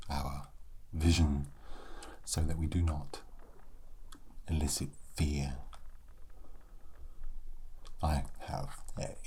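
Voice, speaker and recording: Man acting as undead skeleton, very low dark voice, hushed whispery delivery, morbid, sassy, scholarly, theatrical pauses, ASMR game roleplay, faint background hiss. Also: full character voice. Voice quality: hushed, breathy, often dropping into whisper. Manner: slow, deliberate, wry, theatrical pauses. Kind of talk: ASMR character roleplay monologue.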